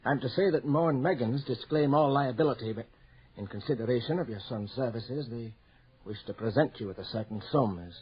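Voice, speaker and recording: A man's voice: mid-range voice, a little fast, natural voice. A man playing an awkward, formal company messenger bearing bad news in an old-time radio drama, stiff and hesitant. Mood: uncomfortable formality. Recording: dull lo-fi radio drama recording.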